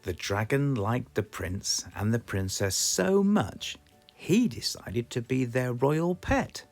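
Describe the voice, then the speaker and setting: A man with a British accent reading a children's storybook aloud in a slow, expressive, sing-song way. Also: medium pitch, gravelly, faint music behind. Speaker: storybook reader.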